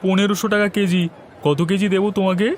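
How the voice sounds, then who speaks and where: A man playing a brisk, businesslike village fish seller in a cartoon. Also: sits high, level tone, slight background noise, close to an everyday voice. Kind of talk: Bengali cartoon story voice acting. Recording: faint music behind the voice.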